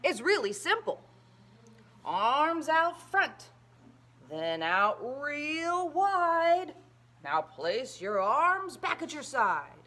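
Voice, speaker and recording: A woman voicing a patient, instructive father in a children's storybook, explaining in a measured way with drawn-out emphasis. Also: high voice, very animated, pushed and bright, pitched above the performer's own voice, full character voice.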